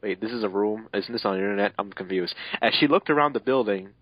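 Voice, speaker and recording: A man's voice: fairly low pitch, quick pace, no echo. Sardonic man reading aloud, dry muffled mic. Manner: sardonic asides, breaks off to swear. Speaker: narrator of a fanfiction reading. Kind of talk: mocking amateur dramatic reading. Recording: dull, lo-fi home mic.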